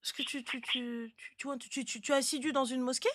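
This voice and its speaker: A woman's voice: sits high, steady tone, a little fast, a bit bright, no echo, clean studio sound. A woman hosting a casual live advice chat, speaking bluntly and insistently and often repeating words to drive a point home. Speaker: live stream host giving relationship advice.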